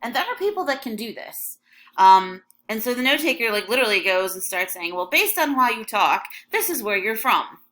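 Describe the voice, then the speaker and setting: A woman's voice: a little high, very animated, moderate pace, sharp tone. A young woman from Michigan with a slight Michigan accent, teaching an English lesson in a casual, chatty way full of ums and likes. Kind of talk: recorded classroom lesson over a scrolling text.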